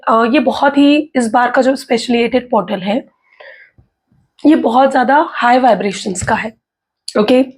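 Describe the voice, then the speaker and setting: Hoarse, husky Indian woman tarot reader. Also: fairly high pitch. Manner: chatty, mixes in English, frequent "okay" and "theek hai" check-ins. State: hoarse from a sore throat. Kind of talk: casual explanatory talk to viewers.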